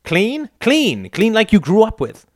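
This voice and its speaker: A man's voice: fairly high pitch, big pitch swings, normal speed, no echo, studio quality, voice pushed up. A man reading aloud and voicing a blunt, crude, domineering older mother who lectures her son with scorn. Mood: scornful.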